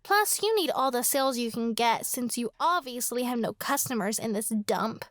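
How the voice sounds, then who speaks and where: High-pitched, vain little girl. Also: animated, moderate pace, fairly bright tone, no echo, studio quality. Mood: scornful. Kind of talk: horror radio drama acting.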